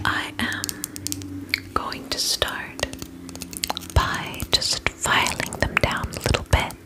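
A woman playing a soothing, attentive vampire spa beautician in an ASMR roleplay, whispering gently and reassuringly in a breathy voice. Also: close to an everyday voice, rough recording. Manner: gentle, reassuring, slow and unhurried.

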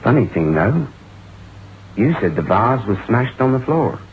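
An actor playing a matter-of-fact police sergeant in an old-time radio drama, speaking in a measured, soothing way. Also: male voice, mid-range voice, big pitch swings. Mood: calm, sober. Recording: tinny old radio recording, faint noise.

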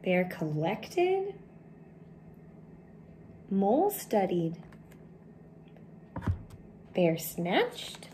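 A woman's voice: medium pitch, very animated, extremely slow, long pauses, warm tone, slight background noise. A woman in her thirties reads a children's picture book aloud at home, speaking simply and expressively to young listeners. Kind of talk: children's storybook read-aloud. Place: indoors, small room at home.

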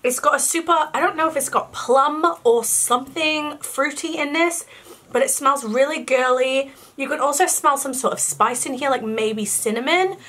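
A young woman with a croaky voice and a cough, chatting casually through a product haul in her bedroom. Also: high voice, moderate pace, a bit bright. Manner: chatty, rambling, lots of fillers. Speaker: beauty vlogger. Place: bedroom, indoors.

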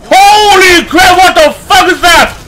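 A man giving casual, excitable, sweary commentary as he hosts a gaming let's play. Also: very high voice, slow pace, noisy background. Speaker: gaming video host. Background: fantasy video game audio.

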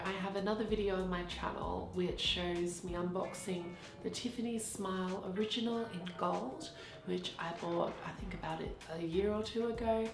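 A woman with a cold, sounding croaky, chatting casually as she narrates an unboxing vlog and gushes over the packaging. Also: mid-range voice. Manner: chatty, gushing about packaging. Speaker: vlogger. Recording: roomy sound with background music.

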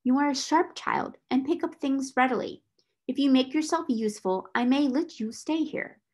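A woman voicing a stern, domineering middle-aged schoolmistress with a sharp, scolding, imperious manner in a storybook reading. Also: sits high, moderate pace, voice pushed up, close to an everyday voice. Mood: curt, commanding.

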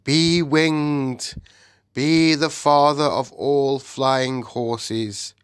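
A man reading aloud and voicing a majestic male lion king with a slow, grand, solemn delivery. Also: medium pitch, steady tone, fairly bright tone, no room sound, clean studio sound. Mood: commanding.

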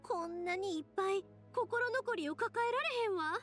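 A woman's voice: extremely high pitch, lively intonation, moderate pace, fairly bright tone, soft background music. An actress playing a kind-hearted young woman in an anime game, speaking earnestly and expressively with a Kansai accent. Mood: pleading, compassionate. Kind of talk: anime game dialogue acting.

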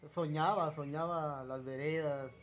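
Male interviewee, forties, southern Mexican accent, fairly high voice, hesitant and unhurried, recorded with audible ambient noise. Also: steady tone, a bit bright. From Chiapas, southern Mexico. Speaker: interview guest. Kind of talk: reminiscing in a relaxed interview. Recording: noticeable background ambient noise.

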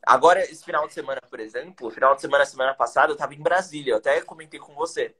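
A man's voice: fairly high pitch, rapid-fire, fairly bright tone. A young man from Brazil, an English teacher, chatting energetically on a video call with slang and rhetorical questions. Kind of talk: casual live-stream chat, teaching tips. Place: indoors, small room. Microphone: webcam on a video call.

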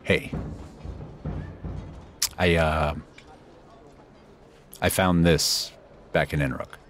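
A man's voice: rumbling low, steady tone, very slow, a bit bright, soft background music, heavily acted. A man playing an easygoing, teasing companion in a fantasy audio drama, conversational and a bit hesitant. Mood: sheepish.

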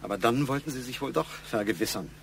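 A man's voice: medium pitch, lively intonation, fast, gravelly, a bit bright. Insistent middle-aged male boss, pointed and probing, radio crime drama, noisy background. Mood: probing, matter-of-fact. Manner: pointed, probing, matter-of-fact.

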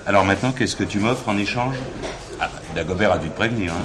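Man playing a low-voiced cynical police inspector. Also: fast talker, a crowd in the background, natural voice. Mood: gruff, condescending. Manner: condescending, bargaining. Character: gruff, cynical, flirtatious male police inspector. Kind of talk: 1970s French crime drama acting.

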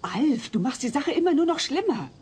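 A woman's voice: high-pitched, very animated, moderate pace, darkish tone. A woman playing a stern, exasperated suburban mother in a German comedy audio drama. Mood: annoyed. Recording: faint noise, old cassette audio drama.

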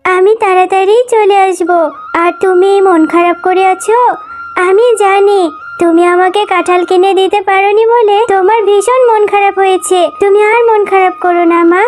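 Cartoon fox cub, little girl: extremely high, sweet, pleading, over music. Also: steady tone, normal speed. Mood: sweet, reassuring. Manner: pleading, childlike. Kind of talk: cartoon voice acting. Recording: music under the voice.

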